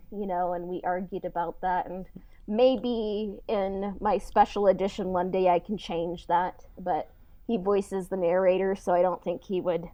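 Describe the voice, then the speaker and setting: A woman co-hosting a podcast, chatting casually in a halting, informal way. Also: mid-range voice, warm tone, dry recording. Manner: halting, informal, frequent "like" and "you know". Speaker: podcast co-host and audio drama writer. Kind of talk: casual conversational chat.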